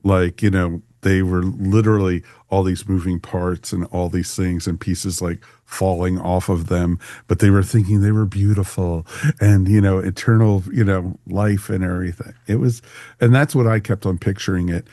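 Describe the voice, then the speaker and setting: Male podcast host, low and warm-toned, casual panel discussion delivery. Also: level tone, moderate pace, no echo, studio quality. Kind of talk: casual panel review chat.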